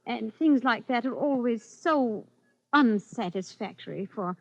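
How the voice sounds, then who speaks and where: Husky, creaky, knowing lady. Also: fairly high pitch, expressive, no echo, exaggerated voice. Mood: arch, coaxing. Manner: arch, coaxing, deliberate phrasing. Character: knowing, coolly manipulative, mock-sympathetic lady advisor. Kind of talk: 1940s radio suspense acting. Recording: dull vintage radio recording.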